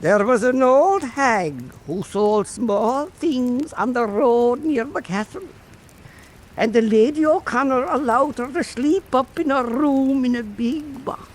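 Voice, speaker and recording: A man's voice: extremely high pitch, slow pace. A man narrating a scripted folk tale as a radio storyteller.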